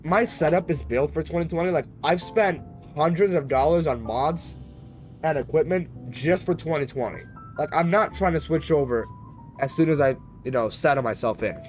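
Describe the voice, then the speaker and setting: A man's voice: fairly high pitch, moderate pace, a little mellow, faint music behind. A man chatting casually as he live-streams a flight simulator, in a rambling, slangy, conversational way. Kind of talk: casual live-stream chat while gaming.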